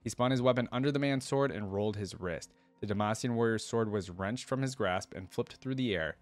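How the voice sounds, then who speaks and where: A man's voice: on the low side, brisk. A man reading a fantasy story aloud and adding enthusiastic, informal fan commentary as a reaction video host.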